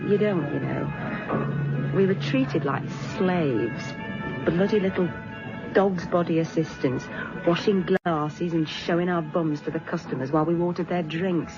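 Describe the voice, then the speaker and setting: An actress playing a sharp-tongued, sardonic British working woman questioned as a witness in a radio drama, dry and defiant. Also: on the low side, unhurried, muffled recording, music in the background. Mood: bitter, defiant.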